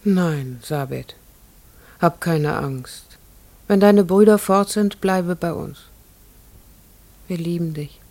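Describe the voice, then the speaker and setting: A woman's voice: on the low side, slow, pauses often, darkish tone. A woman playing a loving, distressed human in a radio play, speaking in an emotional, imploring way. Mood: imploring, tender.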